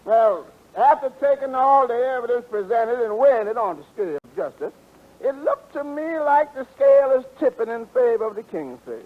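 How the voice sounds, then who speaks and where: A man's voice: very high-pitched, big pitch swings, moderate pace, full character voice. A man playing a self-important, crooked arbitrator in a 1940s radio comedy, with pompous mock-legal talk. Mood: shifty, scheming. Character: shifty, self-important male arbitrator, openly biased and greedy. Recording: muffled 1940s radio broadcast recording.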